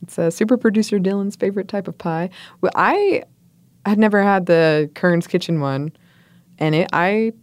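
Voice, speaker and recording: A woman co-hosting a food podcast in relaxed, chatty talk, also reading listener mail aloud. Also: medium pitch, normal speed, dry recording. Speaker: podcast co-host. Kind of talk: casual chat.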